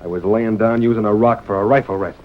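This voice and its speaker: An actor playing a surly, hot-tempered outlaw with a blustering rustic western drawl, in old-time radio style. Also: male voice, on the low side, fairly even intonation, fast, natural voice. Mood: irritable, wary. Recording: muffled noisy vintage radio broadcast.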